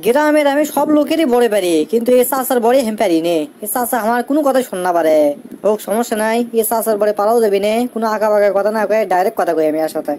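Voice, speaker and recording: A man acts out a young cartoon village headman with a high voice and a thick Bogura accent. He is wily and rustic, always complaining and cracking wise. There is faint noise behind the voice. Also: very fast, darkish tone, lightly acted. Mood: exasperated. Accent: Bogura, northern Bangladesh. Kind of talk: comic cartoon dialogue and monologue.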